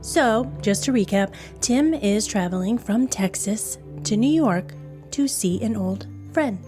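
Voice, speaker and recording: A woman's voice: mid-range voice, animated, unhurried, darkish tone. Woman telling true crime over music. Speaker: true crime podcast host. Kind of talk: conversational true crime storytelling. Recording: background music under voice.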